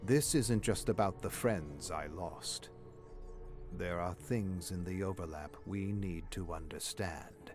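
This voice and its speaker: A man voicing an earnest, insistent investigator of otherworldly mysteries with slow intensity, in a dramatic game story reading. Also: sits low, pitched below the performer's own voice. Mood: earnest, urgent. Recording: music playing behind the voice.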